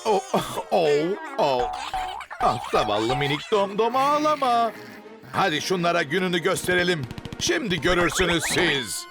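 Storyteller for a kids' cartoon, slow and unhurried, voice swooping up and down, clear music playing behind. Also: medium pitch, very animated. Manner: exclaiming, jumping into the characters' lines. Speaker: cartoon narrator. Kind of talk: children's cartoon narration.

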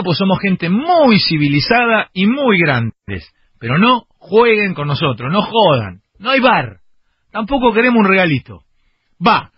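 Fiery Argentine radio host, husky. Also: male voice, sits high, no echo. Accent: Argentine Rioplatense. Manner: fiery, rambling, theatrical. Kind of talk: radio banter. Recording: dull muffled radio broadcast.

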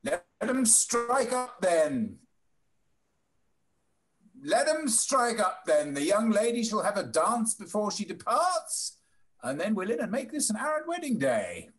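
A man playing a hearty, sociable gentleman host in a classical stage comedy. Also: high-pitched, very animated, measured pace. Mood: jovial, hospitable. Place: indoors at home, on a video call.

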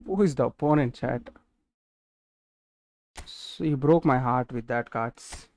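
A man's voice: mid-range voice, slow pace, darkish tone, no echo. A nineteen-year-old man with an Indian English accent, casually chatting with viewers while streaming a football game and reading their messages aloud. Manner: chatty, answers chat questions directly. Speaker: gaming streamer.

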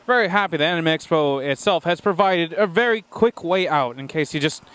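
A man's voice: on the high side, brisk, fairly bright tone, smooth. A young man clowning as a news correspondent, interviewing cosplayers with a handheld mic in a busy convention hall. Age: young adult. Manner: brash, mock-reporter delivery. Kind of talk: comedic on-the-street interviewing.

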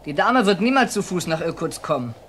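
Folksy, good-natured male wagon driver for audio drama; highish, animated, over noisy ambience. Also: brisk. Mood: easygoing. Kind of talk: German audio drama acting.

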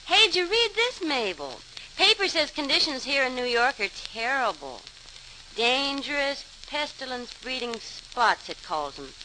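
High-pitched, plain-spoken city woman. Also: sing-song, unhurried, sharp tone, full character voice. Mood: concerned, chatty. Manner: colloquial, clipped everyday speech. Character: plain-spoken New York city woman, gossipy and alarmed. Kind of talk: 1940s radio acting. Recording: hissy, crackly 1940s radio recording.

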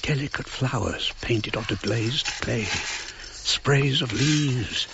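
An actor playing an elderly archaeologist narrating his memories in a radio drama, reflective, in short halting phrases. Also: mid-range voice, muffled recording, heavy background noise. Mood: reverent, reflective. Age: older. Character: elderly British archaeologist looking back on his life.

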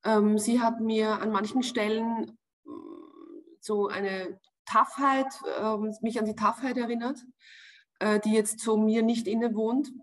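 A woman's voice: fairly high pitch, fairly even intonation, unhurried. Austrian woman chatting thoughtfully on a video call. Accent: Austrian German. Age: 40s. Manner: thoughtful, searching for words with many fillers. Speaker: actress, interview guest. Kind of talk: casual interview answers. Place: home room, indoors. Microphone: video call webcam.

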